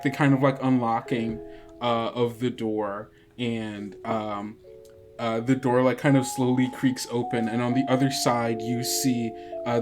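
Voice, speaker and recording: A game master narrating an improvised tabletop game casually, haltingly thinking aloud. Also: medium pitch. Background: guitar music.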